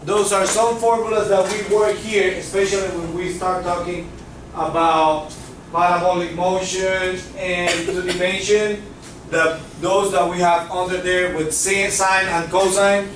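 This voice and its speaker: Physics teacher, male, Cuban accent, high voice, heard from far off in an echoey classroom. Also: level tone, a little slow, noisy background. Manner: checks in with students often. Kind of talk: classroom lecture.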